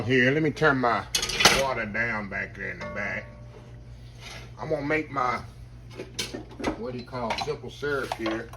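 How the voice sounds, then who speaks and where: A man, chatting to viewers as he cooks in his home kitchen, his voice slightly hoarse. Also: medium pitch, heavy background noise. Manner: chatty, keeps addressing viewers as y'all. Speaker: home cook. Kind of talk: casual cooking demonstration.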